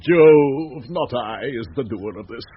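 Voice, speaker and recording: An actor with an English accent playing a pompous, self-deluded steward in a classic radio Shakespeare play, declaiming in a haughty, affected way. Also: male voice, medium pitch, animated, slow. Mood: gloating. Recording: muffled, noisy 1970s radio recording.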